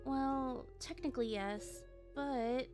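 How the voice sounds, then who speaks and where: A woman's voice: sits high, slow. A young woman voicing a frightened, well-bred heiress in a comic dub, with a pleading, hesitant and formal manner. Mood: anxious. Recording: loud music behind voice.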